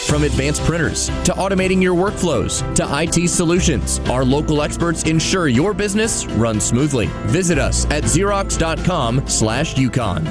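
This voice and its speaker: A man's voice: fairly high pitch, expressive, normal speed, few pauses. A man reading a scripted radio commercial as an announcer. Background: loud music.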